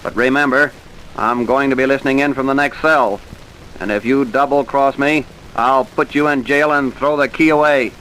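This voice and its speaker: A man's voice: mid-range voice, fairly even intonation, normal speed, a bit bright, lightly acted, rough recording. A man with an American accent playing a stern, probing police detective in a vintage radio crime drama. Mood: stern, pressing. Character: brisk, hard-nosed male police detective. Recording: hissy old radio recording.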